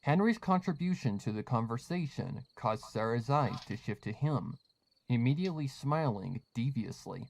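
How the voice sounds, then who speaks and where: A man's voice: mid-range voice, lively intonation, moderate pace, vocal fry, a little mellow, poor audio. A man reading scripted horror fiction as a storytelling narrator.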